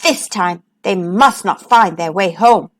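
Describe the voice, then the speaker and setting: A woman's voice: mid-range voice, takes it slowly, voice pushed down, natural voice. A woman voicing a hard, insistent stepmother from a children's storybook, with a firm, commanding manner. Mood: stern, insistent.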